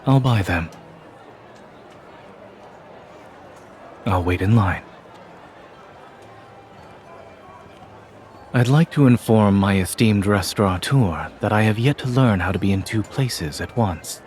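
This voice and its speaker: Man playing a low-voiced, composed fantasy general. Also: a little mellow, lightly acted. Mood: composed, tender. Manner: measured, formal, dryly understated. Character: calm, formal, dryly teasing young male fantasy general. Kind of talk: restrained romance game voice acting. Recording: screen-recorded game audio with faint noise.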